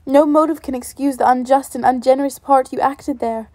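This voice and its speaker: A woman's voice: sits high, flat delivery, a little fast, warm tone, no room sound. Elizabeth, a woman reading a spirited indignant young lady.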